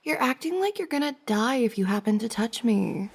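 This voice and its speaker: A woman's voice: on the high side, sing-song, moderate pace, breathy edge, heavily acted. A woman playing a playful, teasing young woman, a best friend's older sister, in a giggly, casual ASMR roleplay. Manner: giggly, teasing banter with frequent soft laughs.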